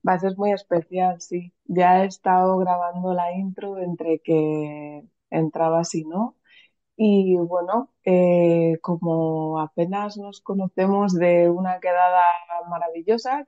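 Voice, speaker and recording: Slow, low-voiced woman host, dull dry audio. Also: mellow, no echo. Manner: chatty, enthusiastic. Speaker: podcast host. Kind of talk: casual interview.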